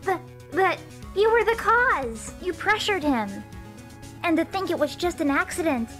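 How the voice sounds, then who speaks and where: A woman voicing an impulsive, emphatic teenage girl sidekick who is quick to accuse, in an amateur game fandub. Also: extremely high pitch, lively intonation, over music. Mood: indignant.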